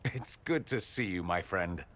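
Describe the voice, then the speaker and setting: A man's voice: sits low, measured pace, fairly bright tone. An actor playing a witty, vain male nobleman with a sardonic, theatrical delivery. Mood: wry. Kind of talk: video game cutscene acting.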